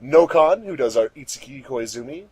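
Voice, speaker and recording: A man's voice: mid-range voice, animated, moderate pace, darkish tone. A man hosting a fan commentary track, introducing things casually in an upbeat, announcer-like way with emphatic stresses. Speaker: host of a fandub commentary track.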